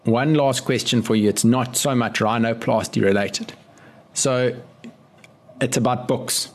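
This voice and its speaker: A man's voice: mid-range voice, steady tone, measured pace. A man hosting a podcast interview, talking in a friendly, effusive way and ending remarks with a questioning "eh". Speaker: podcast host.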